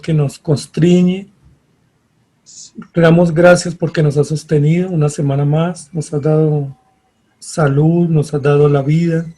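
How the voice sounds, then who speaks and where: A man leading an opening prayer as a pastor, earnest, with a steady listing cadence. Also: a little high, rough recording. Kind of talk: spoken prayer.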